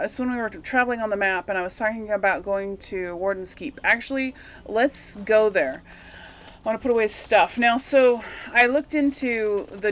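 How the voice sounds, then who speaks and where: A woman's voice: mid-range voice, fairly bright tone, a little hiss or hum, rough recording. A woman giving casual, rambling game commentary, absent-minded and thinking aloud as she plays. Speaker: gamer hosting a role-play playthrough series.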